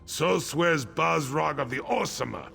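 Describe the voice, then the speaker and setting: An actor playing a grim, resolute orc clan chief in a fantasy video game, gruff and solemn with emphatic delivery. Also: male voice, a little high, expressive, measured pace, sharp tone, full character voice. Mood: grim resolve. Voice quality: gravelly.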